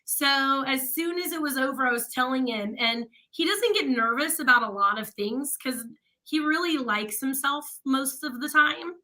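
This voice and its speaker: Bright high-voiced woman radio host. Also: animated, normal speed. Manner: chatty, laughs often. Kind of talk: casual chat.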